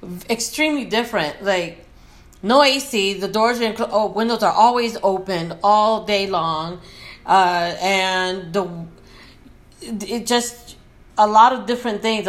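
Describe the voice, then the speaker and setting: Reflective woman sharing travel memories. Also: medium pitch. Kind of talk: casual chat.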